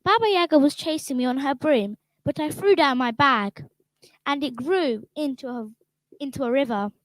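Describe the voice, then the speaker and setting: A young girl, played by a woman speaks in a high, eager voice, excited and earnest. She tells her story in a rush. The sound is dry and close, as in a radio play. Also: moderate pace, dry recording, close to an everyday voice. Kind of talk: children's radio drama.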